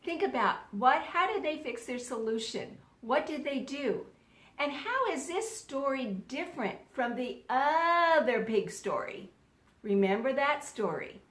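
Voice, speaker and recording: A woman teacher reads a story aloud for children and quizzes them as she goes. Her voice is high and expressive, taken at a slow pace, with the echo of a room around it. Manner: expressive storytelling, questioning listeners. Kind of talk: children's read-aloud lesson with questions. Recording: roomy, echoey sound.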